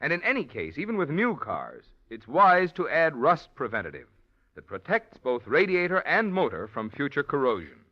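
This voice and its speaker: A man's voice: a little high, huge pitch swings, normal speed. A male radio announcer reading a polished, persuasive sponsor message. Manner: polished, persuasive commercial delivery. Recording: muffled old radio recording.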